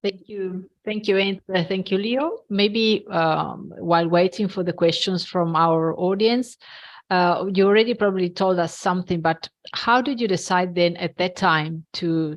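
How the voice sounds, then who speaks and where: Woman moderator, polite and a little hesitant, lowish voice. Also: normal speed. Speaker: event moderator. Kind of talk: hosting a virtual open day.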